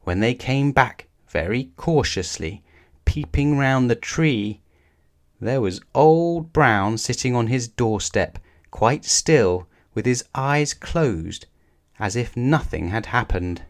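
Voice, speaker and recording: Slow-paced man reading a children's story. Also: medium pitch. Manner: measured, expressive storytelling. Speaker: storybook reader. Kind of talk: children's story read aloud.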